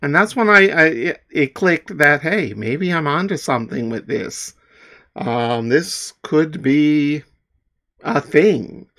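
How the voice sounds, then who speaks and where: A middle-aged man from Newfoundland, Canada, talking casually to viewers in a halting, self-deprecating, rambling way. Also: fairly high pitch, expressive, fairly bright tone. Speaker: comic artist and author.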